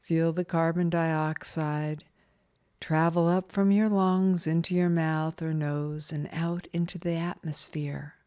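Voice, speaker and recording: A woman leading a scripted guided meditation in a calm, soothing, measured way with long pauses. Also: sits low, clean tone.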